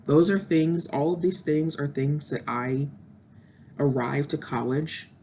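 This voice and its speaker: A woman's voice: low-pitched, steady tone, a little slow, warm tone, a little hiss or hum. A woman in her thirties talking candidly and introspectively in a reflective personal monologue, with frequent fillers. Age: 30s. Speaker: vlogger.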